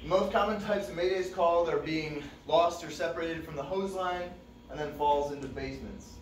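A young man giving a fire service training talk in a relaxed, conversational way with many fillers, recorded from a distant camera in a dim classroom. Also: on the high side, moderate pace, slight background noise. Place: dim training room with projector screen. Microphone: far camera. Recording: echoey room, distant mic.

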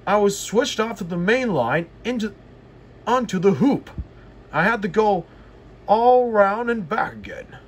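Proud, cross male express engine man reading, storybook style, high-pitched and very animated, music behind. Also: a little slow, slightly rough, heavily acted. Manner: boastful, cross.

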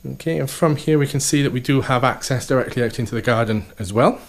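A man's voice: mid-range voice, fast. A man, an estate agent, giving an upbeat walkthrough tour of a house, full of sales patter and repeated "okay" and "fantastic".

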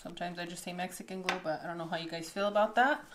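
A woman in her thirties hosting a casual cooking demonstration in a bright home kitchen, chatty and upbeat, laughing often. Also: on the low side, quick pace, background noise. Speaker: cooking show host.

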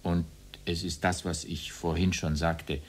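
A man giving formal testimony from memory, speaking deliberately in stiff, bureaucratic phrases. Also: low voice, flat delivery, a little hiss or hum. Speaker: witness under questioning.

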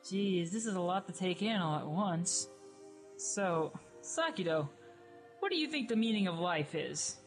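A woman playing a sarcastic, brash young female creature in an audio drama, colloquial and expressive. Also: on the low side, unhurried, faint music behind. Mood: curious. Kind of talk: dramatized webcomic audio play.

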